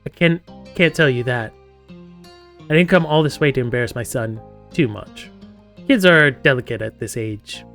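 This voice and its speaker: A man's voice: mid-range voice, a little slow, long pauses, fairly bright tone, faint music behind. A man voicing a teasing, doting mother of a teenager in a casual manner. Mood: amused, friendly.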